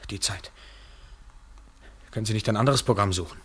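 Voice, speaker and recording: An actor playing a lonely, polite bar customer in a radio play, halting and apologetic, on a noisy recording. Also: male voice, on the low side, fairly bright tone, close to an everyday voice. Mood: distracted. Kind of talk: naturalistic radio play acting.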